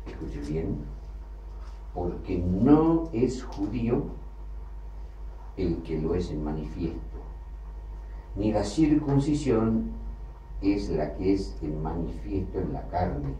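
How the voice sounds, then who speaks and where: A man preaching a sermon, emphatic, with loud bursts that drop low again. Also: lively intonation, takes it slowly, long pauses, slight background noise. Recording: echoey hall, from far off, faint ambience.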